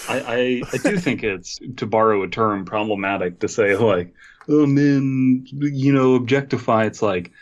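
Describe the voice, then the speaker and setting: A man's voice: medium pitch, unhurried, a little hiss or hum. A man co-hosting a podcast with casual, joking banter. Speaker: podcast co-host.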